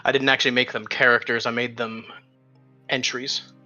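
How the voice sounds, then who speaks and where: A man's voice: medium pitch, flat delivery, moderate pace, pushed and bright, dull audio, faint music behind. A man running a tabletop game as game master, casually narrating and recapping the story with some swearing.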